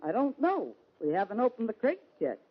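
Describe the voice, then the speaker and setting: An actress playing a brash, stubborn, folksy Western businesswoman in an old radio western, with a husky voice and blunt slangy talk. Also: fairly high pitch, wildly swooping pitch, fairly quick, heavily acted. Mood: friendly. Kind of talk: 1940s-50s radio western acting. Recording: dull lo-fi old radio recording.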